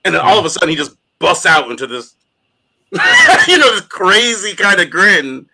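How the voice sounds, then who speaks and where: Man, podcast co-host, animated, bright tone, lively pitch, laughs often. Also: normal speed, gravelly, slight background noise. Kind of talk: casual chat.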